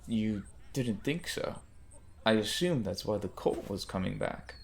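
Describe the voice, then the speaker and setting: A man's voice: lowish voice, animated, unhurried, slight background noise. An actor playing a worried soldier who briefs his captain in an audio drama. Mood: matter-of-fact.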